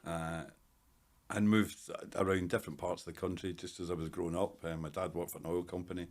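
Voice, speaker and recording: Scottish man, fifties, very low voice, dry measured talk, interview guest. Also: flat delivery. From Scotland. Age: 50s. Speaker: guest hairdresser. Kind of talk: casual interview.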